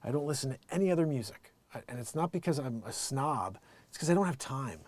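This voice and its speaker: A middle-aged American man hosting a casual livestream, rambling emphatically and excitedly in an unscripted monologue, often acting out bits of quoted conversation. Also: medium pitch, fast talker. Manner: animated, rambling, emphatic, quotes himself and others. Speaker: live music show host and musician.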